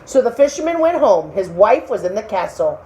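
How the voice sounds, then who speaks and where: Animated woman reading a children's story. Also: medium pitch, fairly quick, slight background noise, rough recording. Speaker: storybook reader. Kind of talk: expressive children's story narration.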